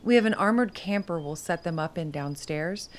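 A woman playing a relaxed, no-nonsense army lieutenant in a radio drama, casual and wry. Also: on the low side, normal speed, natural voice. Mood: matter-of-fact. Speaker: actor in a radio play.

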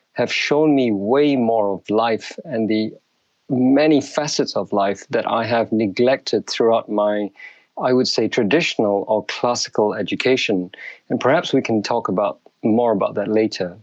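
Middle-aged guy from malaysia, slow careful storytelling, dry dull-ish sound. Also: fairly low pitch, a little mellow, no room sound. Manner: measured, thoughtful, with frequent restarts. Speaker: guest. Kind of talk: reflective interview storytelling.

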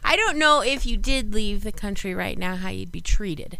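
A woman co-hosting a casual podcast, chiming in with quick quips and laughing often. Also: mid-range voice, lively intonation, gravelly, background noise. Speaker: podcast co-host. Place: small studio.

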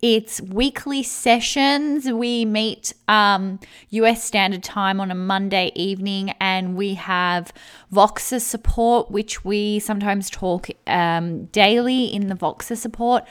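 A woman's voice: medium pitch, fairly even intonation, slow, clear, resonant tone. Chatty woman podcast host with sore throat. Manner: chatty, upbeat, frequent ums. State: slightly sick with a sore throat. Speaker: podcast host and weight loss coach. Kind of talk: casual podcast monologue.

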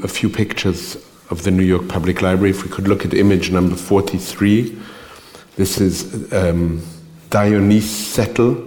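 A man hosting an onstage interview who briskly puts questions to his guest and presents pictures. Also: low-pitched, a little mellow. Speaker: interviewer and host. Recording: echoey hall.

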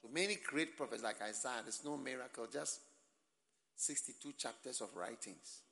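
A man with a Ghanaian English accent preaching a sermon at a church camp, swinging from soft asides to shouting and calling out to the crowd for answers. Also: medium pitch, moderate pace, vocal fry. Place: large hall. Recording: long reverb of a large hall.

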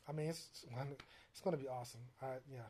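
A man with a soft, quiet voice explains things in a casual interview. He pauses often and restarts his sentences. His pitch sits in an ordinary middle range. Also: fairly even intonation. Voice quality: soft-spoken. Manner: hesitant, many fillers and restarts. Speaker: music producer guest.

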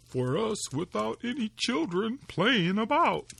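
Kindly poor woodman, plain and good-humored, slow and lively in pitch; a male reader voicing him. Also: gravelly, husky, full character voice. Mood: lonely.

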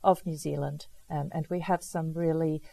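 A woman with a South African accent, a chief veterinary officer answering interview questions in a measured, explanatory way. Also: deep voice, steady tone, gravelly, dark tone.

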